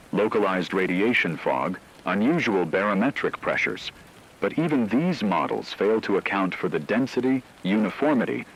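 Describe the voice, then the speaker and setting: A man playing a grave radio news anchor in an apocalypse drama, speaking in a measured, formal way. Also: deep voice, slight background noise, poor audio. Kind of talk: scripted radio news bulletin.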